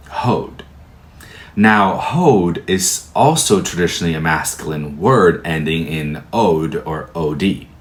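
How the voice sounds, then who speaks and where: Slow, low-voiced man teaching tarot. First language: Portuguese. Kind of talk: calm explanatory lecture.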